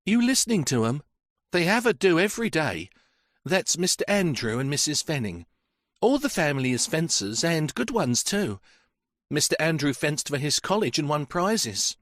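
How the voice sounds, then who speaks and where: A man's voice: a little high, very animated, moderate pace, fairly bright tone, no echo, clean studio sound, pitched above the performer's own voice. A man voicing an elderly, chatty woman housekeeper who gossips freely about the family she works for. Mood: gossipy. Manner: gossipy, talkative.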